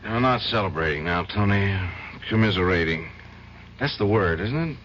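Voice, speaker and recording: An actor playing a troubled, guilt-ridden lawyer who drinks, in an old radio drama. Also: male voice, fairly low pitch, animated, moderate pace, fairly bright tone, slight background noise. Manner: anxious, pleading. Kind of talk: 1970s radio drama acting. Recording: dull old radio broadcast.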